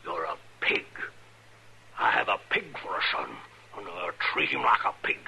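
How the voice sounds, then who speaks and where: An actor playing a mad, wrathful old backwoods preacher in an old-time radio western, thundering in archaic biblical speech. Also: male voice, low voice, animated, normal speed, vocal fry, bright, forceful tone, full character voice, poor audio. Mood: wrathful, menacing. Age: older. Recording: hissy old radio drama recording.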